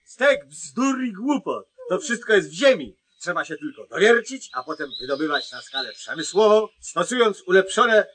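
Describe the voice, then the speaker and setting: An actor playing a gruff, self-important, curt driller in a comedy radio play. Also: male voice, high voice, sing-song, moderate pace, hardly any pauses, a bit bright, a little hiss or hum. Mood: irritated, bossy. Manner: curt, pompous, dismissive. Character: gruff, bossy, self-important man, newly trained driller.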